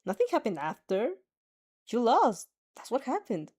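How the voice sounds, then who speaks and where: A woman reading the lines of a dry, deadpan teenage heroine in a fan audio story, sounding exasperated. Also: fairly high pitch, a little slow, breathy edge, exaggerated voice.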